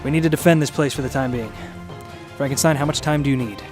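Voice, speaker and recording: Man playing a fast-talking suave monster ally. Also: medium pitch, over music, close to an everyday voice. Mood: cool, decisive. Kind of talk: radio drama acting.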